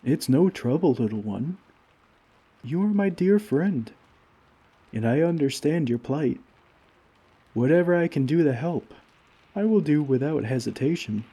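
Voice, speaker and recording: A gentle, caring giant acted by a man in an ASMR comfort roleplay, talking to a little friend. His voice is mid-pitched and mellow, with a husky, breathy edge. He speaks slowly in courteous, old-fashioned phrasing, always tender. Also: lively intonation, exaggerated voice. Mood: warm, reassuring. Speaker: roleplay audio performer.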